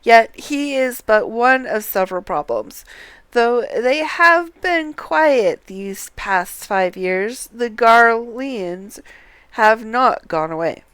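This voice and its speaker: Slow, clear woman streamer reading aloud. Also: a little high, animated, a bit bright, dry recording. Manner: deliberate, halting when reading. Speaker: game streamer. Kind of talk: reading game dialogue aloud, casual livestream commentary.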